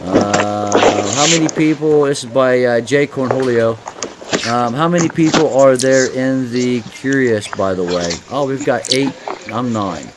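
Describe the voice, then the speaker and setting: A man in his forties hosts a loose gaming podcast. He reads viewer questions at a quick clip, often repeating himself, with a middle pitch. Clear background noise hangs under his voice throughout. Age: 40s. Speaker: podcast host. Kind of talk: casual group chat reading viewer questions.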